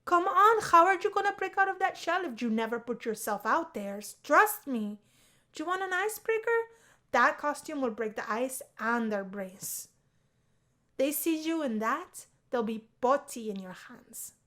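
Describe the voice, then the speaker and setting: A high-voiced, exuberant college girl who bosses her roommate around, played by a woman. She is a visual novel character, pushy and full of energy. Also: animated, moderate pace, pushed and bright. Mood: amused, pushy. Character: Isabella, bossy, exuberant young female college roommate.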